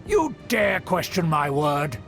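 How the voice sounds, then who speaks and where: A man's voice: fairly high pitch, very animated, slow, gravelly, a bit bright, faint music behind, full character voice. A man voicing a haughty, scheming nobleman in a video game, speaking in a pompous, imperious, grandiose and formal way. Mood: indignant, outraged. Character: haughty, scheming nobleman of a fallen aristocratic clan.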